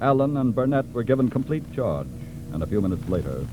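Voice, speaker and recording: An actor narrating a 1940s radio crime drama in a grave, measured, authoritative way. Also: male voice, mid-range voice, big pitch swings, background noise, close to an everyday voice. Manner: solemn, measured. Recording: vintage radio broadcast, music underneath.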